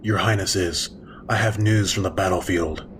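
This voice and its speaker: Guy doing gruff war-worn pony soldier for audio drama, real low, formal but blunt, bit of rumble behind. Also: fairly even intonation, normal speed, close to an everyday voice. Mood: formal, reporting. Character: gruff male pony mercenary soldier, battle-weary and guilt-ridden. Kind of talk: fan audio drama acting.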